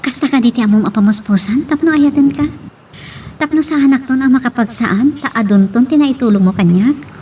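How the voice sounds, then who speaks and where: Casting: actress as proud young working student in radio romance, high mellow voice, guarded, on a slightly noisy recording. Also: female voice, fairly quick, natural voice. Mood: firm, guarded. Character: proud, hardworking young woman student, wary of suitor. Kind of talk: Ilocano radio drama acting.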